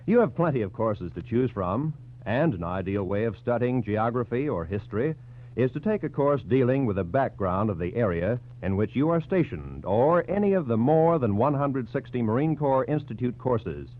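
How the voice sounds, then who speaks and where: A man's voice: medium pitch, very animated, moderate pace. A man with an American accent doing polished, formal scripted announcing in the style of 1940s radio. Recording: dull 1940s radio recording with music. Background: music.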